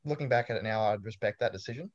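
A man's voice: on the low side, racing, lo-fi sound. A young Australian man in his twenties, chatting casually as an interviewee with a laid-back, storytelling manner and dry humor. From New South Wales, Australia. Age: 20s.

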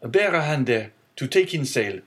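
A man's voice: medium pitch, unhurried, voice pushed up, close to an everyday voice. A man voicing an earnest, resolute young sailor who speaks with sorrow, in an audiobook reading. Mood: commanding.

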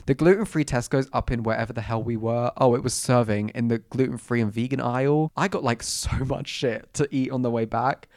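A young man, bunged up and croaky from a cold, telling stories in a dramatic, self-mocking way as a podcast host. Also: medium pitch, a little fast, hardly any pauses, darkish tone, dry recording, clean studio sound. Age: 20s. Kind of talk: casual chatty storytelling.

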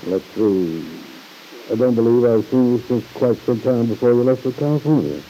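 An actor playing an earnest, patient uncle, speaking in a measured, kindly way in an old-time radio soap opera. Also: male voice, mid-range voice, animated, warm tone, natural voice. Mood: pleasantly formal. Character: earnest, concerned uncle, a bank man talking gently to his niece. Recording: muffled hissy old radio recording.

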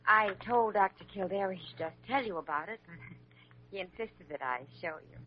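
A woman's voice: medium pitch, normal speed, natural voice. An actress playing a chatty, eager young woman in a 1940s radio drama. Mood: pleased. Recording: dull old radio recording, noisy.

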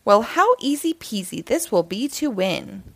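A woman's voice: fairly high pitch, sing-song, measured pace, a bit bright, no echo, full character voice. A cocky male squirrel sailor from a picture book, voiced by a woman, smug and competitive. The voice swings up and down with boastful flair and takes its time. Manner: taunting, smug.

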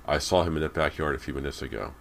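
A man's voice: rumbling low, fast, vocal fry, slight background noise. A man voicing an unflappable female housekeeper in a storybook, plainspoken and matter-of-fact. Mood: unbothered.

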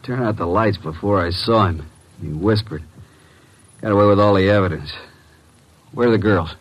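Man acting a low-voiced wisecracking detective's sidekick. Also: normal speed, dull audio, lightly acted. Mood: rueful. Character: brisk, wisecracking tough male detective's assistant. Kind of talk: old-time radio mystery acting. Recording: noisy old radio recording.

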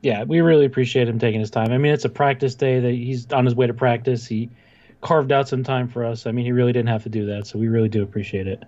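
A man's voice: mid-range voice, steady tone, fast, dark tone. A man co-hosting a sports podcast in casual chat, recorded with slightly dull, lo-fi sound.

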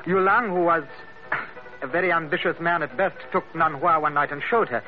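A man's voice: a little high, close to an everyday voice. An actor playing a courteous, dignified young Chinese man in hiding, speaking formal, careful English in an old-time radio drama. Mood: earnest, grave. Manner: formal, measured, careful diction. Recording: dull old radio recording, faint music.

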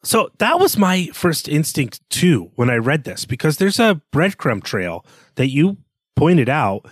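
A man's voice: fairly high pitch, big pitch swings, measured pace, slightly rough, no room sound, studio quality. A man co-hosting a podcast, discussing a book casually and thoughtfully, reasoning his way through it conversationally. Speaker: podcast co-host.

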